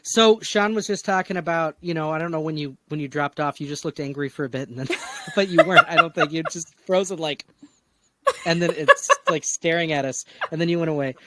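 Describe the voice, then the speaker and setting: Higher-pitched, chatty man hosting, dry sound. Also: fairly quick, a bit bright, dry recording. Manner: affable, encouraging, chatty. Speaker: podcast host. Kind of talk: casual podcast discussion. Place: indoors on an internet video call.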